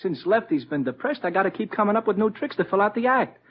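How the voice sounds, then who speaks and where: An actor playing a jittery vaudeville trick performer in an old radio drama, slangy, excitable and quick to protest. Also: male voice, high voice. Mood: eager showman. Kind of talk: 1950s radio drama acting. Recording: muffled old radio recording.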